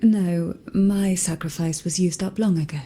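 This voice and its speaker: A calm, knowing guardian woman from a fantasy game, played by an actress. Her voice is mellow and slightly low, and she takes her time with every solemn phrase. A little background noise sits behind her. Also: natural voice. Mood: wistful. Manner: measured, composed, solemn.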